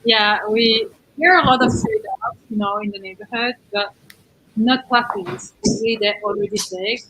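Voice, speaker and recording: A woman's voice: on the high side, very animated, moderate pace, pushed and bright, poor audio. A woman chatting casually as a livestream co-host, explaining things in an informal, slightly hesitant way. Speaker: live stream co-host. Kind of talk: casual chat. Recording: clearly audible noise, clicks.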